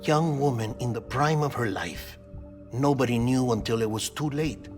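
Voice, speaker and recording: An actor playing a calm, talkative artificial man built from cadaver parts, speaking in a measured, confiding way in a game cutscene. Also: medium pitch, natural voice. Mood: sombre. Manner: measured, slow, confiding delivery. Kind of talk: video game cutscene acting. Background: game score music.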